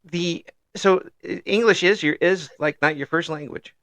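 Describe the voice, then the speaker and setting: A man's voice: fairly high pitch, fairly bright tone, dry recording. American man interviewer, close dry sound. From United States. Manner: jokey, halting questions. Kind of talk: casual chat interview.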